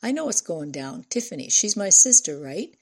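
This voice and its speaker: A woman's voice: lowish voice, big pitch swings, moderate pace. A woman voicing a cocky, taunting young male biker in an audiobook, swaggering and slangy. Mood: taunting, sly.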